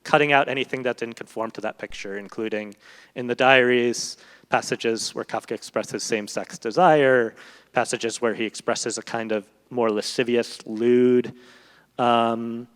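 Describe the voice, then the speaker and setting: A man speaking as a guest translator in a literary discussion, explaining thoughtfully in long, halting sentences. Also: medium pitch, fairly even intonation, clear, resonant tone. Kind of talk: literary discussion before an audience. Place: large event hall, live public talk. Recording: echoey hall with long reverb.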